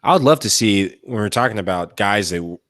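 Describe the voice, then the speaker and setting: A man's voice: lowish voice, fairly quick, no room sound, clean studio sound. A man co-hosting a soccer podcast, talking through tactics in long flowing runs and acting out what players and coaches might say. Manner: animated, talks in long flowing runs with acted-out quotes. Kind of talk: casual analytical chat.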